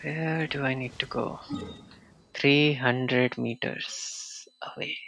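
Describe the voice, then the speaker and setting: A man streaming a video game live, muttering quiet asides to himself, often near a whisper. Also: mid-range voice, steady tone, slow pace, creaky, poor audio.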